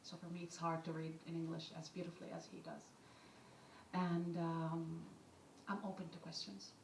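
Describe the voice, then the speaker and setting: A woman whose first language is Arabic, an author speaking on a panel in a halting, reflective way. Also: deep voice, level tone, distant mic, slight background noise. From Palestine. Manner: halting, reflective, many restarts. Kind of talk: panel discussion. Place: event hall with audience. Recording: roomy hall miked from afar.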